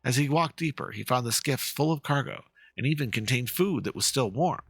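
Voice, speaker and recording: A man's voice: mid-range voice, a little fast, fairly bright tone, husky, dry recording. A man voicing a dramatic storyteller who recounts a spooky legend from a fantasy game in a theatrical way. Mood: eerie, suspenseful.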